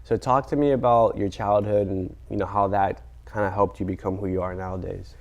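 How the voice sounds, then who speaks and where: A man's voice: on the low side, steady tone, brisk, a little mellow, muffled recording. A man hosting a podcast, interviewing a guest in a casual, loose way with lots of fillers. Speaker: podcast host.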